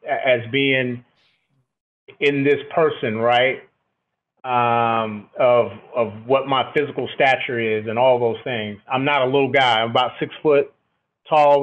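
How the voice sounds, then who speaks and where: Booming middle-aged man on a phone-like line. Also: steady tone, unhurried. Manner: reflective, often checks with "right?". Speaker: military officer, podcast guest. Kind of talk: conversational interview answers. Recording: thin, phone-like band-limited line.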